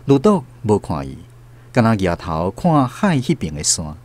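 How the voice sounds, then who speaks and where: A man's voice: lowish voice, huge pitch swings, moderate pace, darkish tone. A man with a Taiwanese accent narrating a literary radio drama.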